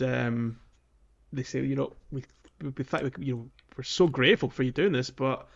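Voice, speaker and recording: A man's voice: mid-range voice, creaky. A man co-hosting a gaming podcast in a casual, conversational way. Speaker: podcast co-host. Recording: dull, lo-fi voice recording.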